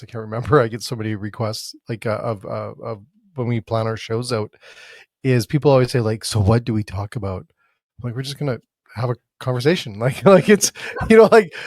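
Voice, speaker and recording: A man's voice: medium pitch, no room sound. Chatty male podcast host, dry sound. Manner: rambling, self-interrupting with repeated starts. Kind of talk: casual conversational interview.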